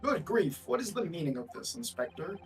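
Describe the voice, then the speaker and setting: Stuffy courtroom judge for a video game, acted by a man, formal delivery, raised pitch. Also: sing-song, a little fast, faint music behind. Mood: exasperated. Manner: formal, pompous. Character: stuffy, exasperated old male courtroom judge.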